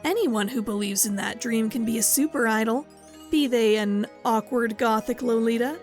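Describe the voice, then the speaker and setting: A woman's voice: on the high side, moderate pace. A woman reading scripted narration for a podcast trailer. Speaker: promo narrator. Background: faint music.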